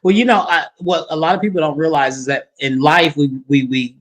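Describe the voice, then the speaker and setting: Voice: medium pitch, fairly even intonation, brisk, low-quality recording. A talk show host in their fifties chatting casually with a guest, sassy and folksy, repeating points for emphasis. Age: 50s.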